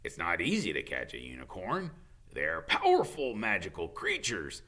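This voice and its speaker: A man voicing a gruff, burly gamekeeper in a storybook, kindly but wary, with folksy, hesitant, ungrammatical speech. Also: deep voice, huge pitch swings, normal speed, sharp tone, exaggerated voice. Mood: wary, hushed.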